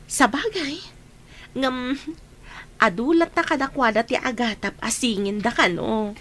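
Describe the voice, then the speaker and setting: Easygoing, good-natured wife in a radio serial, highish chatty voice, light background noise. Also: female voice, measured pace, a bit bright. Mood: amused, agreeable. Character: good-humoured pregnant wife chatting with her husband.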